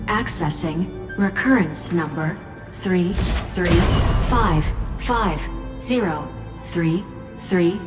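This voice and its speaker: A woman voicing an emotionless machine system in a video game, reciting status reports in a measured, clipped, impassive way. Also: fairly low pitch, music in the background. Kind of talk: video game computer announcement.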